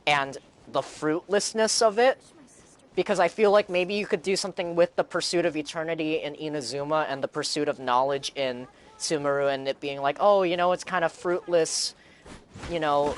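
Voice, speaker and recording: A man livestreaming a game, chatting casually and rambling as he muses aloud to his viewers. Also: fairly high pitch. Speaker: game streamer. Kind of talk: casual livestream chat while playing.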